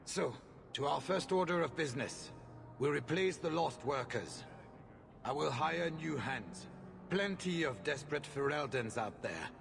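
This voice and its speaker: An actor playing a shrewd, smooth-talking mine owner in video game cutscene dialogue. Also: male voice, a little high, animated, measured pace. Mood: businesslike. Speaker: game character.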